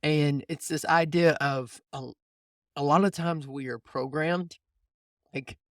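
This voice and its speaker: A man's voice: a little high, fairly even intonation, slow, fairly bright tone, no room sound, studio quality. A man hosting a casual coaching conversation, explaining things informally and often rephrasing as he goes. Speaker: podcast host and mindset coach.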